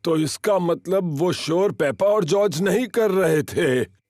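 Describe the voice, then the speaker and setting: Unhurried old grandpa pig. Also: male voice, high-pitched. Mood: mild, relaxed. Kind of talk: children's cartoon dubbing.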